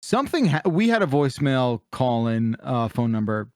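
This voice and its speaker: A man's voice: medium pitch, big pitch swings, normal speed, darkish tone, no room sound, studio quality. A man hosting a podcast, chatting casually and comedically with the easy looseness of someone telling anecdotes. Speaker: podcast host.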